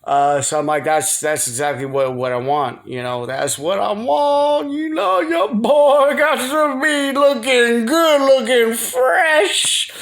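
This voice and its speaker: A man's voice: very high voice, slow, hardly any pauses, fairly bright tone. A man rambling casually to his viewers in a folksy, slangy, playful way, as in a personal home vlog. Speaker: video blogger. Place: living room at home. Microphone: phone.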